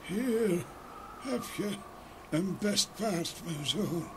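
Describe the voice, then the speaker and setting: Fairy-tale role: ghostly old peasant father, high-pitched, solemn, halting, clearly noisy ambience. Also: lively intonation, full character voice. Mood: solemn, stern. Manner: slow, halting, drawn-out pronouncements. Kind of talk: audio fairy-tale acting. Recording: noisy ambient sound behind voice.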